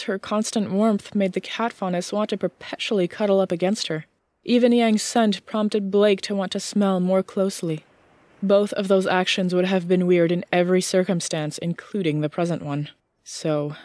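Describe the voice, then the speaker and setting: A woman reading scripted fiction as an audiobook narrator, in a measured storytelling manner. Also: mid-range voice, fairly even intonation, a little mellow, no echo.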